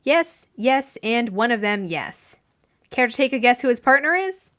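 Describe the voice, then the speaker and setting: A sly male detective voiced by a woman reader in an audio fan story. He sounds amused and knowing, teasing in a highish, very animated voice. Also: a little slow. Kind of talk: fan fiction audiobook character voice.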